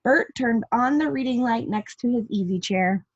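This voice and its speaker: High-voiced woman reading to preschoolers, muffled sound. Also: moderate pace, slightly rough. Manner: addresses young listeners with questions and asides. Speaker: preschool teacher reading aloud. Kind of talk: read-aloud storytelling for young children with chatty asides. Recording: dull lo-fi home recording.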